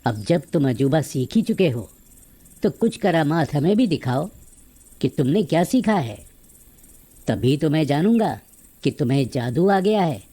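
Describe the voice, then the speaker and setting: A man's voice: a little high, big pitch swings, measured pace, long pauses, dark tone. A man playing a greedy, coaxing minister and father in a cartoon fairy tale.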